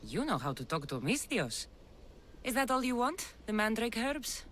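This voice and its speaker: A woman's voice: fairly high pitch, big pitch swings, moderate pace, fairly bright tone, a little hiss or hum. An actress playing a tough, confident female mercenary with a dry, wry manner in a video game cutscene.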